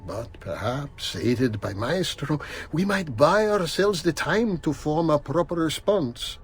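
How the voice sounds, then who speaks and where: An actor voicing an anxious conspirator in a video game, reading in a formal, solemn and measured way. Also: male voice, a little high, lively intonation, slightly rough, exaggerated voice. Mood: grave, worried.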